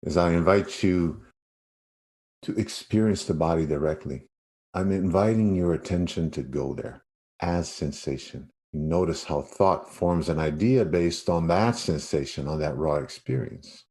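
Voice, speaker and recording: A man leading a guided meditation as a yoga nidra teacher, speaking in an unhurried way with spacious pauses. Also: low voice, warm tone.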